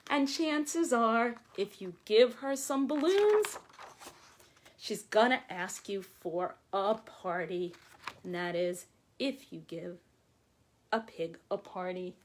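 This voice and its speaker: A woman in her forties, a children's librarian reading aloud to young children with expressive, deliberate phrasing. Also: mid-range voice. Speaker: children's librarian hosting storytime. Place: small room at home or office, by window. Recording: clearly noisy home video with clicks.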